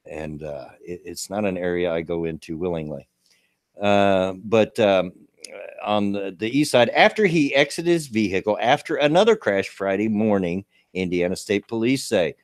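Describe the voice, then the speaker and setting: Gravelly-voiced man in his fifties. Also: on the low side, expressive, normal speed, no echo, clean studio sound. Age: 50s. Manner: folksy, rambling with restarts. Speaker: show host. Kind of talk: casual livestream chat, reading local news.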